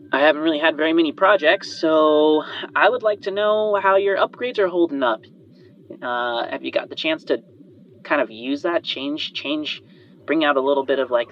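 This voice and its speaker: A man's voice: on the high side, fairly bright tone, pitched above the performer's own voice. A man voicing an eager, chatty female inventor who is loyal and worried, speaking in a hesitant, rambling way. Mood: excited, chatty. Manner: hesitant, rambling, trailing off and sighing. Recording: muffled band-limited audio under music.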